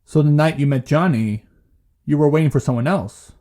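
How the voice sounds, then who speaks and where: A man playing a calm, patient therapist in an audio drama, asking questions in a measured, gentle way. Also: a little high, natural voice. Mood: patient, curious. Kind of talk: naturalistic audio drama dialogue.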